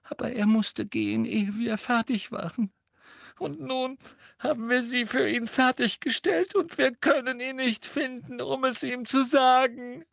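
A man voicing a tearful, desperate teenage schoolgirl with a choked, shrill, sniffling voice in an audiobook. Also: animated, a bit bright, dry recording, clean studio sound, heavily acted. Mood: sobbing, halting. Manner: sobbing, halting.

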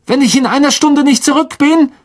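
Narrator as young boy hero; urgent, trying to sound confident, pitched high. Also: brisk.

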